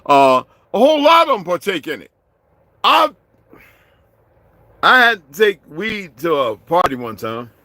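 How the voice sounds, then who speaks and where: A middle-aged man delivering a heated, profane vlog rant, swinging from low muttering to shouting. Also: very animated, unhurried, pauses often, dry recording. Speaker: vlogger. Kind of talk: profane ranting monologue.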